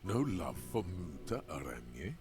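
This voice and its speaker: A man's voice: sits low, very animated, measured pace, music in the background. A man voicing a declarative inner voice of the mind in a video game. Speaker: game narrator voice.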